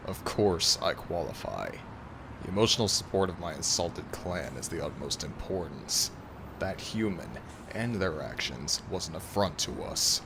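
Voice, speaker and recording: A man's voice: low voice, expressive, unhurried, noisy background. A man playing a proud, coldly arrogant male alpha werewolf in an audio drama, with a formal, haughty, threatening manner. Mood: indignant. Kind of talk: dramatic audio roleplay acting.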